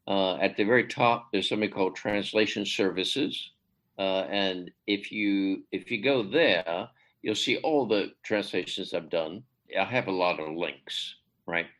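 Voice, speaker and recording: Male translator guest, lowish voice, halting and filler-heavy, with sudden high emphasis. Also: fairly bright tone. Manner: hesitant, many fillers and restarts, rising emphatic pitch. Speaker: literary translator, podcast guest. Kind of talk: conversational interview.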